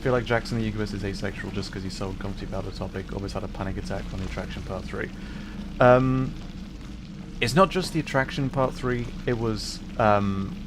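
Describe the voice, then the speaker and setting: Low-voiced man streaming, noisy background. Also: brisk. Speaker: livestreamer playing a video game. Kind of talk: casual gaming stream chat.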